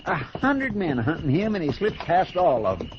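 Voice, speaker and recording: A man's voice: on the high side, big pitch swings, normal speed, noisy background. An American man playing an earnest, deferential frontier deputy sidekick with a folksy drawl in a 1950s radio western. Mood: weary. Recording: dull old radio recording, music behind.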